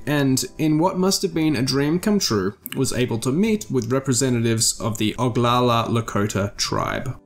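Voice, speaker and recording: A man hosting a podcast, reading scripted commentary and then chatting casually through listener comments. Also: medium pitch. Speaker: podcast host.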